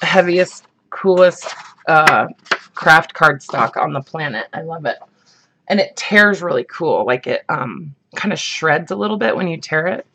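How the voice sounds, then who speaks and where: A woman with a husky morning voice, chatting casually as she shows off craft supplies, rambling with lots of ums and likes. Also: sits low, a bit bright. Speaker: craft vlogger. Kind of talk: casual show-and-tell chat.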